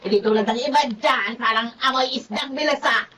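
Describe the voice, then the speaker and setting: Woman acting spiteful older neighbour lady, high swoopy taunts, bit far from the mic, busy background. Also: a crowd in the background, close to an everyday voice. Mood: taunting. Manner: taunting, smug, drawn-out. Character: spiteful older neighbour needling her rival. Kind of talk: television melodrama acting.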